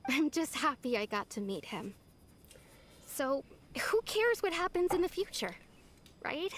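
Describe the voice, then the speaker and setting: A woman playing a gentle, wistful but brave young village girl in a video game. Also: sits high, very animated, measured pace, heavily acted.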